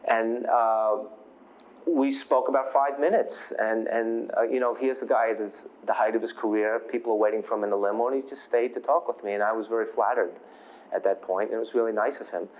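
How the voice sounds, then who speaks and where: An American man, a martial artist, telling an animated anecdote in an interview and acting out what people said. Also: lowish voice, brisk. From United States. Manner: animated storyteller, quotes people, fillers. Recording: thin phone-like sound.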